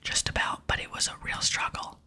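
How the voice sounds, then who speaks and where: A woman's voice: a little fast, pushed and bright. A woman whispering the game dialogue of an eager, easily flustered female adventurer in ASMR style. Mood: weary.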